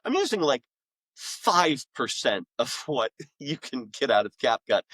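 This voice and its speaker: A man's voice: medium pitch, big pitch swings, a little slow, a bit bright, dry recording. A man talking casually as an interview guest, explaining creative work in a rambling way that often doubles back on itself. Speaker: interview guest, author and audio drama maker.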